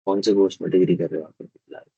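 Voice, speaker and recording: A hoarse male teacher giving a live online class, explaining step by step and often checking that students follow. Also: low-pitched, fairly even intonation, racing, warm tone, no echo, lo-fi sound. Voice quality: husky, gravelly.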